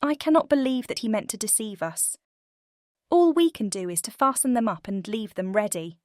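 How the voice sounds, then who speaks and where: A woman playing a gentle, sincere young heroine in a dramatized fairy-tale audiobook. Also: a little high, lively intonation, normal speed, no room sound, studio quality, voice pushed up, close to an everyday voice. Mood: calm, trusting.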